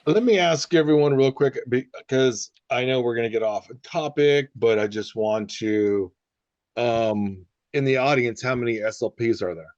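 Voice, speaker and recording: A man leads a casual group talk as a speech therapist, rambling a little with restarts and quick bursts. His voice sits mid-pitch, and the recording is slightly muffled and dry. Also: a little mellow, dry recording. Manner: conversational with many fillers and restarts, sometimes rapid. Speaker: speech-language pathologist leading a discussion.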